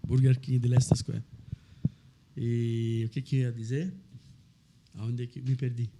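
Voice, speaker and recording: An Italian man of middle age, a restaurant owner telling his story in a casual chat, animated and laughing as he talks. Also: medium pitch, a little slow, noisy background. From Italy.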